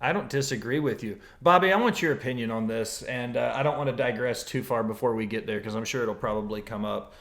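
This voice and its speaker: A man's voice: mid-range voice, quick pace, hardly any pauses, fairly bright tone. A man hosting a casual podcast, giving playful, hyped-up introductions and asking questions. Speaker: podcast host. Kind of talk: casual wrestling podcast chat.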